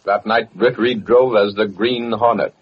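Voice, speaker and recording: An actor as a dramatic announcer setting scenes in an old-time radio serial. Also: male voice, on the low side, fairly even intonation, a little slow, lightly acted. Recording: muffled, noisy vintage radio recording.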